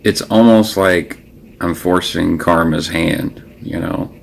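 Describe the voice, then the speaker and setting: Deep-voiced, slow man, native Creole French speaker. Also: fairly even intonation, creaky, slight background noise. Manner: halting, searching for words, trailing off. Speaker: personal documentary narrator. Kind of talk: reflective, unscripted personal monologue.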